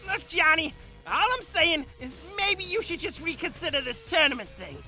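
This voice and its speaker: Woman as a high, bright, pleading talent agent. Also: expressive, moderate pace, soft background music, heavily acted. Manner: pushy, colloquial, pleading. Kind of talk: comic dub acting.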